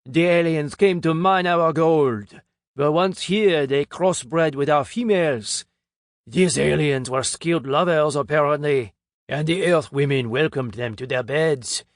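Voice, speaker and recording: A pompous, jowly middle-aged Frenchman, put on by a male audiobook narrator, holds forth in a thick French accent. His voice rides high and loud, and he stretches his words out slowly and grandly. He sounds like a storybook showman. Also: dry recording, studio quality. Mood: grandiose. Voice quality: theatrical, grandiose delivery.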